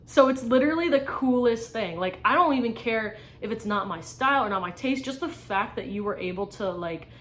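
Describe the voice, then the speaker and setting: A woman's voice: a little high, lively intonation, brisk, few pauses, a bit bright, slight background noise. A young woman from New York answering tag questions about her small art shop. She rambles with lots of fillers and swears casually. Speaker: small business artist.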